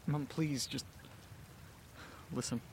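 An actor playing an anxious, distressed young man on a phone call to his mother in an audio drama, halting and pleading as he talks. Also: medium pitch, fairly even intonation, vocal fry, a little mellow, a little hiss or hum. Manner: hesitant, halting, faltering, pleading.

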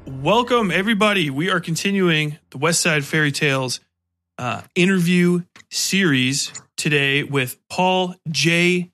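A male podcast host with a bright, forward voice pitched a little high. He talks casually and slangily as he presents and interviews, with faint music behind him. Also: unhurried. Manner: informal, slangy.

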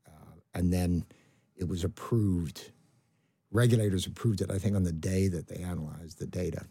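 A man in his seventies with a Canadian accent, speaking as a guest in a conversational interview, rambling with restarts and trailing off quietly. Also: low voice, fairly even intonation, normal speed, slightly rough, no echo, clean studio sound. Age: 70s. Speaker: guest, medical professor.

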